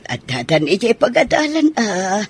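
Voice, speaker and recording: A woman playing an excitable mother gossiping with an in-law in an Ilocano radio drama. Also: medium pitch, moderate pace.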